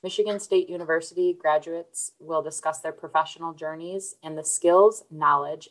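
Conduttrice con voce piuttosto grave, in tono entusiasta. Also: female voice, low-pitched, low-quality recording. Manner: upbeat, encouraging, frequent fillers and restarts. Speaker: podcast host. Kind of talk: scripted intro then interview.

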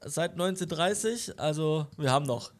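A man's voice: on the high side, animated, moderate pace. A young man co-hosting a podcast from his living room, talking casually with a cold, hesitant and full of fillers. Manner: hesitant, many fillers and restarts. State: nasal with a cold. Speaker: podcast co-host. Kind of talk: casual chat.

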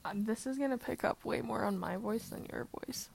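A woman talks through a relaxed family podcast conversation, her voice carrying a gravelly creak. She stalls often on "um" between thoughts, sitting near a shared mic. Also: on the high side, fairly quick. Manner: hesitant, lots of "um" fillers. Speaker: podcast co-host. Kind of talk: casual family chat. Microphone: shared mic, close to her.

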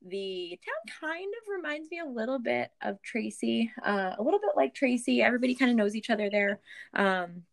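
An American woman telling her personal story as a guest in a candid, reflective, halting way, often restarting her phrases. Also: a little high, lively intonation, creaky. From Boston area, Massachusetts, USA. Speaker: podcast guest, business owner.

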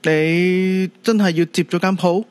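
A man's voice: high-pitched, steady tone, unhurried, smooth, no room sound. A man with a Hong Kong Cantonese accent playing a cheeky, upbeat young son in a radio drama, probing and matter-of-fact.

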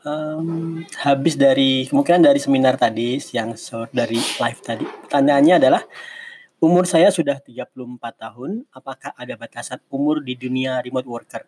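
Male mentor, 40s, average pitch, informal and explanatory, answers questions with digressions. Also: moderate pace, a little mellow, slight background noise. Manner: conversational, explanatory, with digressions and self-corrections. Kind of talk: casual advice talk in a live session.

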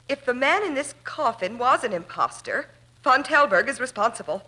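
Bright-voiced, scheming woman from 1940s radio drama. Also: sits high, lively intonation, moderate pace, heavily acted, low-quality recording. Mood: grim resolve. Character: scheming, determined woman feigning grief, threatening at gunpoint.

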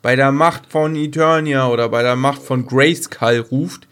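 A man's voice: medium pitch, measured pace, fairly bright tone, clean tone. Blunt man chatting as podcast co-host. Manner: blunt, pressing, teasing. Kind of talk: casual sci-fi fan chat.

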